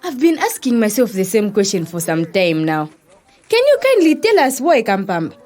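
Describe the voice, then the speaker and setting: A woman's voice: mid-range voice, wildly swooping pitch, moderate pace, a little mellow. A woman with a Zambian accent playing a chatty, breezy young daughter and loyal friend in an educational audio drama. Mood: lively, chatty.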